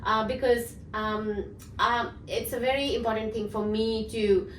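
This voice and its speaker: A woman's voice: fairly high pitch, level tone, measured pace, a little hiss or hum. A woman hosting a casual company interview, encouraging her guest with frequent "yeah". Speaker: host, company CEO. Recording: echoey room, mic at a distance.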